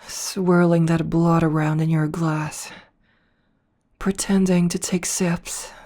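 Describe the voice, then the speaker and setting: A toying vampire princess, voiced by a woman in an ASMR audio roleplay, her tone dark and mellow and set a little low. She drawls slowly and softly, close to the mic, often dropping into a whisper. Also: little pitch movement. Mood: teasing. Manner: soft, slow and toying, slipping into whispers. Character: teasing noble female vampire princess, predatory and playful.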